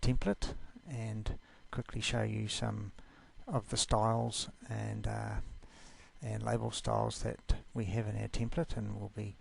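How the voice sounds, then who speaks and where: Man, New Zealand accent, gravelly voice, slightly low pitch, easygoing screencast walkthrough. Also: flat delivery, a little slow. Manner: easygoing, informal, down-to-earth. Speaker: presenter of a software class.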